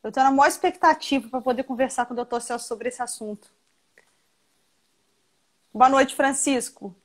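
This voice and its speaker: High-voiced chatty woman hosting a video call. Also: normal speed, fairly bright tone. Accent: Minas Gerais Portuguese. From Rio de Janeiro state, Brazil. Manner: chatty, affectionate, lots of "né". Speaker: university professor hosting a live stream. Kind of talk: warm casual live-stream interview.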